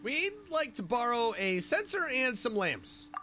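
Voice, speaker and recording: Woman streamer with lively, slow delivery. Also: fairly high pitch, noisy background. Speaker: streamer playing a game. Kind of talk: casual gameplay commentary and reading along.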